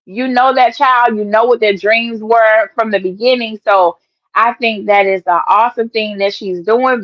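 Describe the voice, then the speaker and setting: A woman hosting an online news show in casual livestream chat, slightly hoarse, emphatic and given to repeating her points. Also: mid-range voice, no room sound. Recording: dull lo-fi, dry sound.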